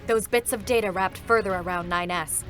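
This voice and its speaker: A woman voicing a reserved, stoic female combat android, narrating in a calm, measured way at a live concert reading. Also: medium pitch, close to an everyday voice. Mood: restrained. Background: faint music.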